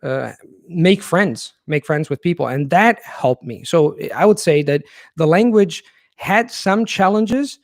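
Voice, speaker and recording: A man hosting a live viewer Q&A. His voice sits a little high for a man, and his explanations are earnest and long. The sound is dry and close, with no room echo. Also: lively intonation, slow pace. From Italy. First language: Italian. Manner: thoughtful and earnest, explaining at length. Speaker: language-learning channel host. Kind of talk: live Q&A, reading viewer questions and answering.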